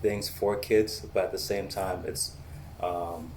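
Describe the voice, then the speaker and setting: A man in his thirties from the Boston area, a police officer answering interview questions in a measured, steady way with frequent ums. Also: sits low, slight background noise. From United States, Boston area.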